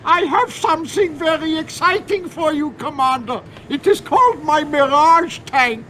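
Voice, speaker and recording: An actor playing an eager, formal old scientist with a German accent in a video game cutscene. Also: male voice, extremely high pitch, measured pace, sharp tone, slight background noise, full character voice, poor audio. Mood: proud excitement. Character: excitable, proud old male German scientist.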